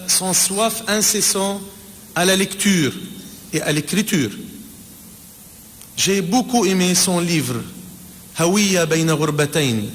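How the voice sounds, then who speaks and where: A man in his forties whose first language is Arabic, giving a measured, reflective spoken tribute as an ambassador, pausing and restarting his sentences. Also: high voice, a bit bright. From Palestine. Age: 40s. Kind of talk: spoken personal testimony. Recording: reverberant room with faint background noise.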